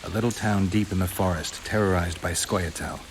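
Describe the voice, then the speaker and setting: An actor playing a stoic, world-weary monster hunter in a video game, terse and dryly understated. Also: male voice, deep voice, moderate pace, background noise, close to an everyday voice. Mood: dry, matter-of-fact. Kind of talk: video game cutscene acting. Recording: rain and ambience behind the voice.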